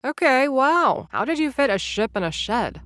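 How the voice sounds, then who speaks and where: A woman's voice: high-pitched, sing-song, moderate pace, fairly bright tone, faint music behind. A young woman voicing a sarcastic, nervous influencer who narrates her story straight to the listener in an audio drama.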